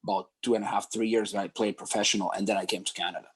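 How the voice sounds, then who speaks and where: A man's voice: on the low side, flat delivery, very fast, husky. A man from Croatia with a Croatian accent, speaking English as a second language as a podcast guest. He is precise about the details and has a dry sense of humor. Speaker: guest, club founder. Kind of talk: casual interview.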